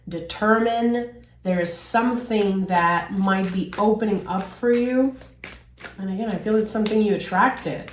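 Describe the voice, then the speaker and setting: A woman with a raspy, hoarse voice talks through a tarot spread at an unhurried pace. Her delivery is gentle and reflective, and the sound rings around an echoey room. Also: medium pitch. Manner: slow, soothing, reflective delivery. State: recovering from illness, hoarse. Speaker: tarot reader. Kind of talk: spoken tarot reading.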